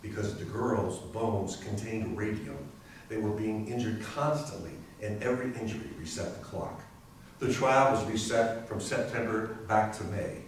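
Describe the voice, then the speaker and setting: A man acts out a lawyer telling of a legal fight in readers theater style. His voice is low, carried from a distance through a heavily reverberant hall with slight background noise. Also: normal speed, close to an everyday voice. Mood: earnest, measured. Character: male lawyer recounting a hard-fought court case. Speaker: community theatre performer. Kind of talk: readers theater dramatic narration. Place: library readers theater performance, indoors. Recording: very echoey hall, distant, faint hiss.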